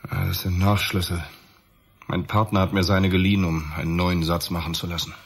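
An actor playing a cornered middle-aged murder suspect in a radio crime drama, defensive and subdued. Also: male voice, low voice, flat delivery, fairly quick, breathy edge. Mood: defensive, resigned.

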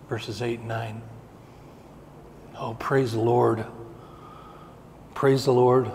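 A middle-aged male pastor preaching a sermon in a church sanctuary, building emphasis with slow, repeated phrases. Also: mid-range voice, a little hiss or hum. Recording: long reverb of a church hall.

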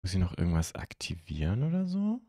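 A young man from Germany hosting a live stream, chatting casually and haltingly as he answers viewer comments into a close microphone. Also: low-pitched, wildly swooping pitch, mellow, no room sound. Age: young adult. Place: indoor streaming room at home. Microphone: close microphone on a stand.

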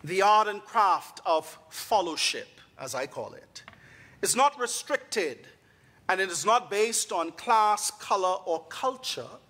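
A husky-voiced Bahamian man preaches from a church pulpit, taking his time over each phrase. His pitch sits a little high for a man, and his delivery is genial but climbs into emphatic preaching. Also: expressive, takes it slowly, pushed and bright. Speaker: guest priest. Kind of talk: sermon. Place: large church sanctuary. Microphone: pulpit mic.

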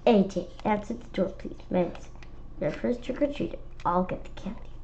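A woman reading a children's book aloud slowly, stumbling and restarting, with lively voices for the characters. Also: mid-range voice, slight background noise. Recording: slightly dull lo-fi sound.

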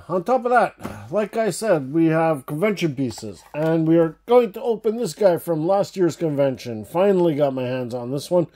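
A man's voice: on the high side, warm tone. A man hosting a casual unboxing vlog, chatty and enthusiastic, with a slight cough, calling his viewers guys. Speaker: diecast collector channel host. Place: indoor room with shelves of toy cars.

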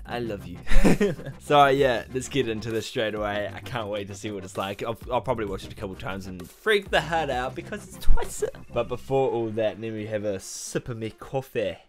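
A young man with a husky morning voice, hosting an excited, slangy music reaction vlog from a small bedroom on a studio mic. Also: lowish voice, normal speed. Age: young adult. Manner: excitable, animated, exclamatory, slangy. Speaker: reaction channel host. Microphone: studio mic in front, headphones on.

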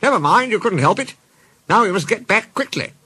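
A polite, anxious cricketer from a storybook, as a man acts him out. He speaks earnestly in a raised pitch with lively swings and some huskiness. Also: a little fast, husky, exaggerated voice. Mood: forgiving, hurried. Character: polite, anxious male cricket players calling out.

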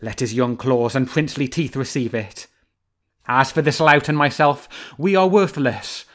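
A cunning fox trickster from a storybook, read by a male narrator. He flatters and defers in smooth, courtly phrases at a middling pitch. The voice stays close to the teller's own. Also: a little slow, lightly acted. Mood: fawning, nervous.

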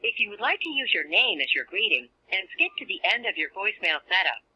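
A woman's voice: medium pitch, very animated, brisk, bright, forceful tone, breathy edge. A woman reading scripted automated voicemail prompts, heard through a telephone. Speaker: automated voicemail system announcer. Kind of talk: scripted phone menu prompts. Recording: dull lo-fi phone speaker playback.

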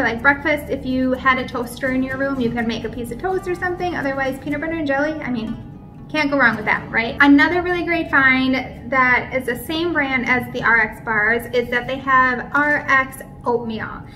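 A woman's voice: high voice, moderate pace, pushed and bright, soft background music. A woman in her thirties from Minnesota, chatting to the camera at home as she holds up items one by one. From Minnesota, USA. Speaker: vlogger. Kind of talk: casual product show-and-tell. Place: bright home room indoors.

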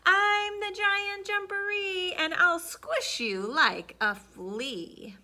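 A woman voicing a hidden giant creature that boasts loudly and slowly about how scary it is. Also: exaggerated voice. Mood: booming threat. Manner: booming, slow drawn-out threats.

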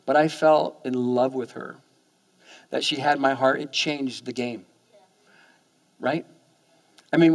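A man in his forties preaching a sermon on a church stage, in an animated, conversational way that often repeats words as he starts a sentence. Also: on the high side. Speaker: pastor. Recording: long hall reverb.

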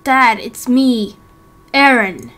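A woman's voice: a little high, expressive, extremely slow, fairly bright tone, heavily acted. A woman voicing a timid, anxious young man in a game roleplay, speaking hesitantly with stammers. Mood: pleading. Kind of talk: amateur game roleplay acting.

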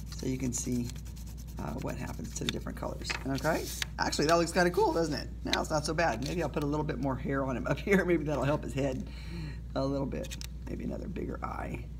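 A woman's voice: very deep voice, background noise. Chatty woman teacher demonstrating crafts. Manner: chatty, self-deprecating, frequent okays and ums. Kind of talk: casual art demonstration for students. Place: indoors at a table, recording her hands from above.

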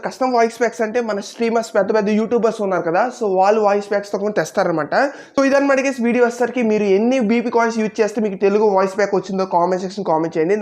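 A woman's voice: mid-range voice, darkish tone. A woman hosting a gaming video with casual commentary to viewers.

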